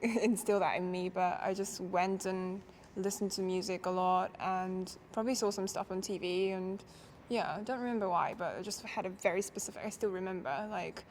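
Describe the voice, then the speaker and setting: A young woman from Japan talks through her answers in a relaxed, reflective way, often pausing with fillers and small laughs. Her voice sits at an average pitch for a woman. A faint background noise runs under the recording. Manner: thoughtful, casual, laughs often, hesitant fillers. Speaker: musician interviewee.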